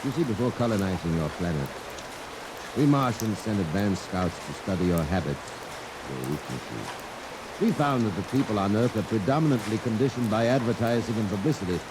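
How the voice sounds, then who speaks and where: Cold, low-voiced Martian villain, played by a man, measured and polite, vintage radio drama over rain. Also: lightly acted. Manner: calm, measured and menacing. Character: cold, smooth Martian infiltrator posing as a man. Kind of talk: 1950s radio drama acting. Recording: old radio transcription under loud rain noise.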